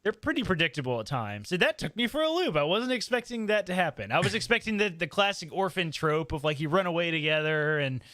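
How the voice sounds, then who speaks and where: A man's voice: fairly high pitch, big pitch swings, fast, hardly any pauses, fairly bright tone, no echo. A man chatting casually as a podcast panelist, rambling and emphatic, often checking in with "right?". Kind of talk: casual roundtable book discussion.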